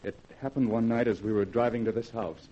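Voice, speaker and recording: An actor playing a haunted, bitter husband in a grave, dramatic 1940s radio horror style. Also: male voice, mid-range voice, steady tone, a little fast, dark tone, dull audio, close to an everyday voice. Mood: haunted, brooding. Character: haunted, bitter husband, desperate to escape his wife. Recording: hissy vintage 1940s radio recording.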